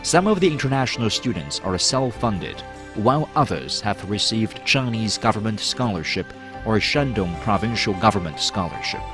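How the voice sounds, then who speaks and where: A man reading a formal, measured promotional narration as a voice-over. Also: fairly low pitch, big pitch swings. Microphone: studio voice-over. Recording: voice-over over loud music. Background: music.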